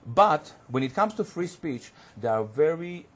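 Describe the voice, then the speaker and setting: A man whose first language is Hebrew, a guest on a studio panel discussion, who talks haltingly and searches for words with many fillers. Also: fairly high pitch, big pitch swings, a bit bright, slight background noise. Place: community TV studio.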